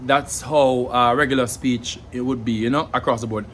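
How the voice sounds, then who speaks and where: A man's voice: mid-range voice, steady tone, normal speed, a bit bright, slight background noise. A young adult man with a Jamaican accent, explaining in a casual, thoughtful way to camera, with many fillers and repeated words. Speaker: language vlogger.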